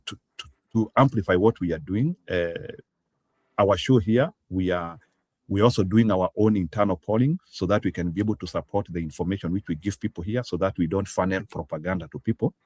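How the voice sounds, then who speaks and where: A Kenyan man hosts an online political talk show over a video call. His voice sits very low, with a dry, close sound. He talks haltingly, with fillers and restarts. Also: darkish tone, dry recording. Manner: hesitant, many fillers and restarts. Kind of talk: casual talk show interviewing.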